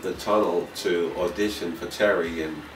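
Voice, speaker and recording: Deep-voiced middle-aged man in echoey room. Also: steady tone, moderate pace, recorded from a distance, noisy background. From Puerto Rico, raised in New York City. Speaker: fashion designer being interviewed. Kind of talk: casual interview talk. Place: designer's indoor studio with sewing machines. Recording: echoey room, off-mic, music behind.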